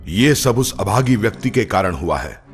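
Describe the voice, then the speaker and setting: A man playing an imperious, declamatory Mughal emperor in a children's animated story. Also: fairly low pitch, moderate pace, soft background music. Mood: alarmed, angry. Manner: regal, declamatory. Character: imperious Mughal emperor, easily alarmed, commanding.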